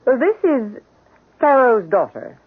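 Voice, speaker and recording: Cruel, imperious husky rich wife. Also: female voice, a little high, very animated, unhurried, full character voice. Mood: taunting. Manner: cool, commanding, taunting. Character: cruel, power-hungry wife, imperious and thrill-seeking. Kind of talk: 1970s radio drama acting. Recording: muffled, phone-like old radio recording.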